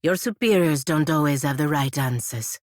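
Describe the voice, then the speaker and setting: A woman performs a proud, godlike warrior woman for a fantasy video game. Her voice sits low. She is blunt, impatient and cuttingly sardonic, recorded dry with no room sound. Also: moderate pace, a little mellow, no room sound, natural voice. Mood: dry, curt. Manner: disdainful, sardonic, clipped and cutting. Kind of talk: video game character dialogue.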